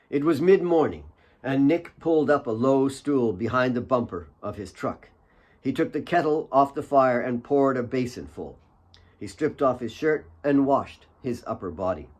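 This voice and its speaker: A man reading his own fiction as an audiobook, with solemn, deliberate dramatic narration. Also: medium pitch, expressive, darkish tone.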